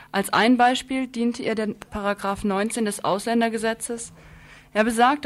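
A woman presenting a scripted radio news report in an earnest manner, now and then stumbling over a word and starting again. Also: medium pitch.

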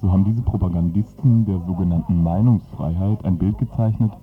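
A man's voice: deep voice, a little fast. A man delivering a formal scripted radio statement in a solemn, declamatory way. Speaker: radio speaker. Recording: muffled, distant radio sound with music. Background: music.

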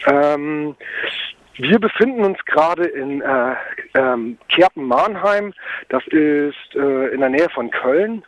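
A man with a fairly high voice gives a spontaneous phone interview as an activist. He speaks hesitantly, with frequent ums and broken-off starts. The line is dull and lo-fi, with little room sound. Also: expressive, slow pace, dry recording. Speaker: climate camp activist being interviewed. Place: at a climate camp, on a mobile phone. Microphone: mobile phone.

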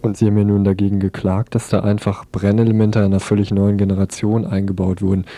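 A man's voice: lowish voice, little pitch movement, fast, mellow. A man presenting a radio news magazine and putting questions to a guest as interviewer.

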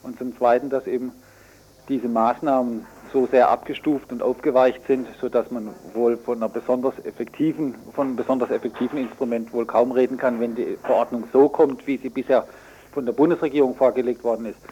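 A man's voice: mid-range voice, fairly even intonation, fairly quick, mellow. A man being interviewed as an expert on radio, speaking off the cuff in a matter-of-fact, self-correcting way. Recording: dull, faintly noisy radio recording.

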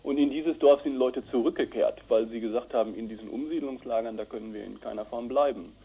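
A man's voice: medium pitch, a little fast, creaky, warm tone, breathy edge, low-quality recording. A man giving matter-of-fact, explanatory answers in an informal radio interview. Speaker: interviewed activist reporting on an Indian dam project.

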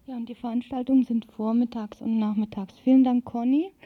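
A woman with a fairly high voice conducts an informal radio interview. Her sound is narrow and tinny, much like a telephone line. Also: fairly even intonation, a little fast. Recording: thin, phone-like old radio recording.

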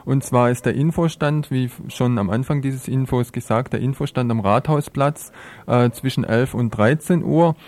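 A man's voice: medium pitch, moderate pace, darkish tone. A man hosting a radio news show, presenting live and informally.

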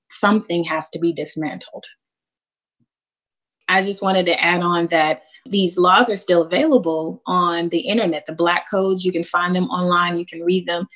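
A woman with an American accent speaking as a panelist, explaining things in a measured way with emphasis on key words. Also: on the low side, a bit bright, low-quality recording.

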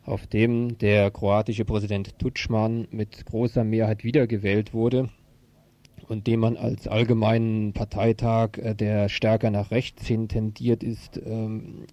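A man's voice: on the low side, little pitch movement, measured pace, dark tone, dull audio. A man giving a radio news report in a hesitant manner, searching for his words.

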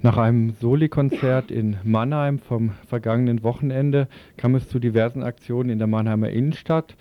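A man's voice: mid-range voice, fairly even intonation, moderate pace, no echo, lo-fi sound. A man reading news summaries as a radio presenter.

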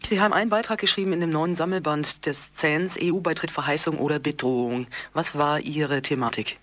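A man interviewing for radio, putting prepared questions in formal, measured phrasing. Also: a little high, fairly even intonation, a bit bright.

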